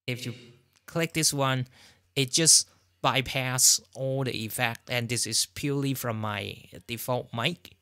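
Halting man with an effect-processed voice. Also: pushed and bright. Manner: halting, thinking aloud. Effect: reverb and pitch-shift plugins on his voice. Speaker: host testing audio plugins. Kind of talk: casual software demonstration. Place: indoor desk setup.

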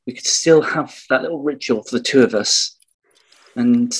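A man's voice: medium pitch, moderate pace, a little hiss or hum, close to an everyday voice, rough recording. A man playing a wistful, sentimental sweetheart in improvised comedy, tentative and earnest. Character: wistful, sentimental sweetheart longing for private time.

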